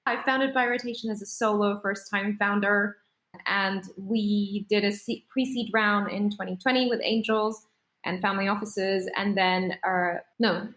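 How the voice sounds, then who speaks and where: A woman from Singapore, a startup founder, telling her story in a measured, articulate way in a podcast interview. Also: mid-range voice, steady tone, a bit bright, roomy sound, poor audio.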